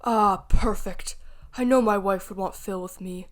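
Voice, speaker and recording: A woman's voice: mid-range voice, unhurried, lightly acted. A young female student playing an old widowed father, doting and scornful, in a radio play. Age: teenager or young adult student.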